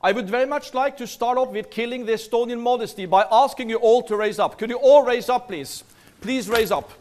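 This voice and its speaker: Norwegian-accented man, high voice, keynote speaker who jokes with the crowd and pushes them on. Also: a little fast, slight background noise. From Norway. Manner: lively, playful, urges the audience. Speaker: seminar speaker. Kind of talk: energetic business talk. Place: large hall.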